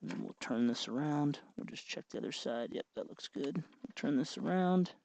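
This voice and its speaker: A man's voice: medium pitch, expressive, normal speed, creaky, darkish tone, lo-fi sound, slight background noise. A man hosting a hands-on tutorial, explaining step by step in an informal, casual way. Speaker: tutorial host.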